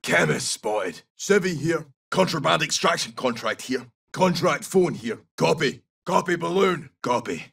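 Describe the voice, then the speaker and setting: An actor playing a gruff, brash Scottish special forces soldier in a military shooter game, giving clipped, urgent tactical callouts in a rough, gravelly voice. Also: male voice, fairly high pitch, animated, measured pace, few pauses, a bit bright, distant mic, full character voice. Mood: terse, focused.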